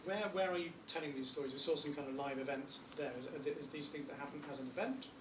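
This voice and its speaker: A man's voice: on the high side, quick pace. A man hosting a live panel event, introducing and interviewing guests. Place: live event venue, speaking to an audience. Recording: echoey room, distant mic, loud noise.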